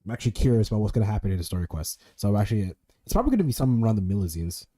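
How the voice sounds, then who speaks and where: A man's voice: fairly low pitch, animated, racing, warm tone. A man streaming a game with casual, slangy commentary and plenty of swearing. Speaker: gaming streamer.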